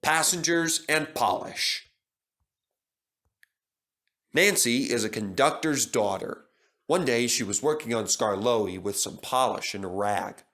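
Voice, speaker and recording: A man's voice: medium pitch, slow, long pauses, a bit bright. A man reading a scripted children's story as narrator.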